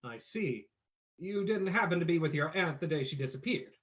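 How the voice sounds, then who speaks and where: A woman playing a composed, inquisitive gentleman sleuth in an old-time radio drama, speaking in a measured, probing way. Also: low voice, animated. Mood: curious, suave. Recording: dull, lo-fi sound.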